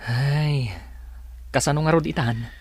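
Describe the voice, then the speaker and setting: A man's voice: a little high, unhurried, slightly rough, no echo. An actor playing a candid, concerned male friend giving advice in a radio drama. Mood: concerned, questioning. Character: candid, concerned male friend Lito, advising his pal. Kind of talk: Ilocano radio drama acting.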